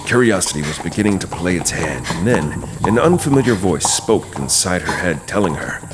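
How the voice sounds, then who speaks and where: Deep-voiced man narrating horror. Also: sing-song, moderate pace. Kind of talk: dramatic scripted horror narration. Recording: clear background noise, night sound effects.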